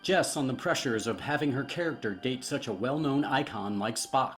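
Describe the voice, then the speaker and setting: A man interviewing actors and introducing clips, asking questions in a plain, halting way. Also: mid-range voice, fairly bright tone, a little hiss or hum.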